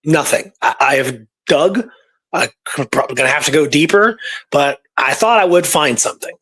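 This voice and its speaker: A man's voice: on the high side, expressive, moderate pace, bright, forceful tone, slightly rough. A man co-hosting a casual history discussion, informal and keen on his research, sometimes reading from documents. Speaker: co-host and researcher. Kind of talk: casual history discussion with read-out archive passages.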